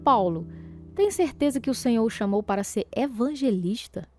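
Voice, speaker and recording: A woman acting as a caring, devout wife who gently questions her husband, affectionate and concerned, with a Brazilian accent in a religious radio drama. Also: a little high, lively intonation, normal speed, soft background music, natural voice. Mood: tender, concerned.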